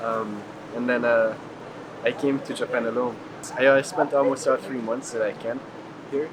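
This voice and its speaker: A man's voice: mid-range voice, steady tone, moderate pace, a crowd in the background, poor audio. A man from Hungary being interviewed as a traveler, chatting casually and loosely with lots of filler words. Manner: frequent "like" filler, rambling. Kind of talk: casual chat. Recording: clear ambient street noise.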